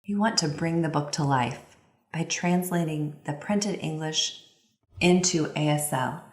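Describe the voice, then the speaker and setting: Low-pitched woman in a roomy space. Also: unhurried. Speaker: voice-over interpreter for a signing presenter. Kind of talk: instructional voice-over translating signed presentation. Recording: roomy, reverberant sound.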